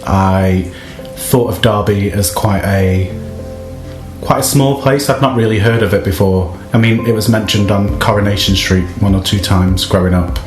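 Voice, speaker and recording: Manc guy, really low voice, chatting casually in an echoey room. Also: fairly even intonation, moderate pace. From Manchester, England. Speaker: interviewee. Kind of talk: casual interview talk. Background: guitar music.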